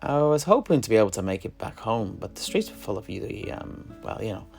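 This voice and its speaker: A man's voice: lowish voice, very animated, a little fast, creaky, a little mellow, soft background music. A man playing a teasing, roguish alchemist in a fantasy audio drama, spinning tales in a colourful, theatrical way. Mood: teasing, boastful. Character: roguish, teasing male alchemist and rat slayer.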